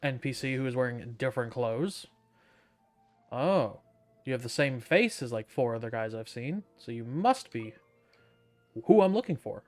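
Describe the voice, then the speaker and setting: A man gives off-the-cuff gaming commentary at an ordinary mid-range pitch. He is dry and sardonic, answering back casually, with game music clearly audible behind him. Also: animated, normal speed, long pauses, a little mellow. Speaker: gaming video host.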